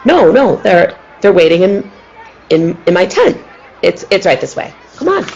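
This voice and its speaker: Woman acting a scheming low-voiced circus villainess. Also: very animated, vocal fry, a little mellow, a faint crowd, exaggerated voice. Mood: nervous, evasive. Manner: halting, stammering, repeating words. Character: scheming, nervous murderous circus tiger-tamer woman. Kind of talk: radio play acting. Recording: muffled, lo-fi audio with noise.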